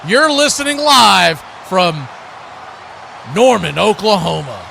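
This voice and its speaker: A man doing excited live play-by-play commentary of a football game. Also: sits high, takes it slowly, vocal fry, slight background noise.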